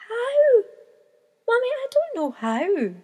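A woman's voice: very animated, unhurried, warm tone. Woman doing a whiny little Scottish gull chick. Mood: panicked. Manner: pleading, high and wailing. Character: young male seagull chick, whiny and hungry.

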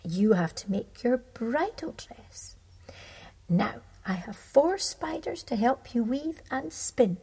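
A woman's voice: fairly high pitch, expressive, slow, vocal fry, warm tone, husky, heavily acted. A woman voicing a bossy old female field mouse who gives orders. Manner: bossy, instructing.

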